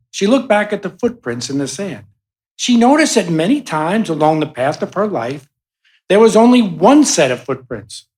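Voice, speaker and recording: A man acting in a radio play as a grieving, hopeful young son giving an earnest, measured eulogy for his mother. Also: fairly high pitch, low-quality recording.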